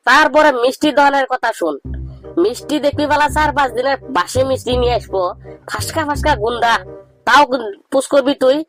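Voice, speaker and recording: High-pitched boastful cartoon village lad acted by a man. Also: a little fast, fairly bright tone, soft background music. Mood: mocking, emphatic. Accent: rural Bangladeshi. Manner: bragging, teasing, declamatory. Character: boastful cheeky young village man, know-it-all joker. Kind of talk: comedy cartoon dialogue.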